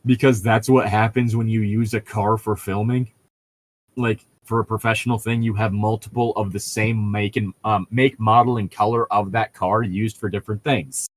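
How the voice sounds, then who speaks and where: A man co-hosting a casual chat, rambling through stories in an excitable, profane and laughter-prone way. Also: lowish voice, normal speed.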